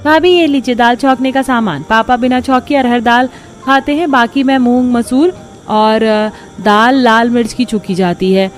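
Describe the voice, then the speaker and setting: A woman's voice: a little high, fairly even intonation, moderate pace, pitched above the performer's own voice, lightly acted. A woman voicing a young sister-in-law in a cartoon story, breezy and a little haughty. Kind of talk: Hindi cartoon story acting.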